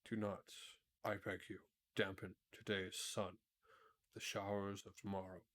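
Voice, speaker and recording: A low-voiced, courtly emperor made of tin, acted out by a man reading aloud. He is kindly but rather pompous, choosing formal words with measured dignity, as a storybook ruler would. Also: level tone, a bit bright. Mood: kindly, sympathetic. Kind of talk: storybook character read aloud.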